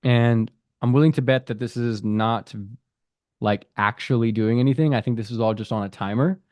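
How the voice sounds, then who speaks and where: A man's voice: mid-range voice, normal speed, darkish tone, dry recording. Dry-voiced man talking through an app. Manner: conversational, reads app prompts aloud and comments. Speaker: app developer reviewing an app. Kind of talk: casual screen-recorded app walkthrough.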